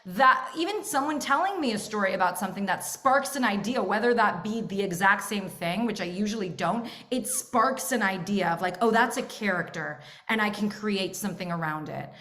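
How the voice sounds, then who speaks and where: A young woman from San Francisco, a guest chatting casually in an interview, animated and full of fillers. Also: mid-range voice, normal speed, hardly any pauses. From San Francisco, USA. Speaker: guest, actress and content creator.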